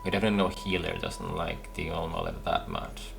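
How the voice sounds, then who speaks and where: A man's voice: low voice, very animated, a little fast, creaky, fairly bright tone, soft background music. A man giving casual commentary as he plays a game, musing and thinking aloud. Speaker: gaming video host.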